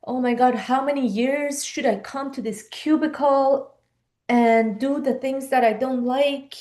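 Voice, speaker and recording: Woman coach, 50s, somewhat high, mellow voice, urging. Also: steady tone, measured pace. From Iran. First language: Persian. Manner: earnest, urging, rhetorical questions. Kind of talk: live online coaching talk.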